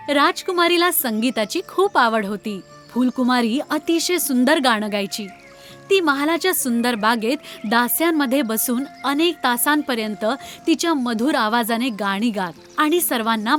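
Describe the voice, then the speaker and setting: A woman reading scripted narration for an animated children's fairy tale. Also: high voice. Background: background music.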